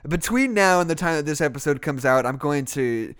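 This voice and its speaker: A man's voice: on the high side, lively intonation, a little fast, fairly bright tone, clear, resonant tone. A young man hosting a podcast in a casual chat, rambling and joking at his own expense. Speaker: podcast host.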